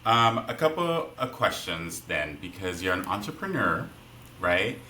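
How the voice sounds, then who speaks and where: Man, podcast host, low voice, bright tone, chatty, friendly, Spanish first language. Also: very animated, measured pace, a little hiss or hum. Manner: friendly, chatty, frequent "right?" tags. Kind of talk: conversational interview.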